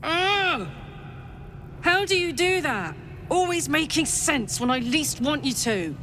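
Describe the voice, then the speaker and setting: An actress playing a gruff but reasonable companion in a fantasy video game, talking in a casual, blunt way. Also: female voice, high-pitched, very animated, slow, fairly bright tone, a little hiss or hum, exaggerated voice. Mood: grudging.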